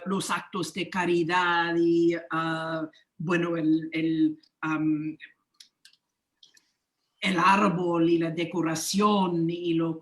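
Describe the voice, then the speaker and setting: A woman's voice: fairly low pitch, fairly bright tone, roomy sound. A woman on a discussion panel, speaking hesitantly with frequent fillers.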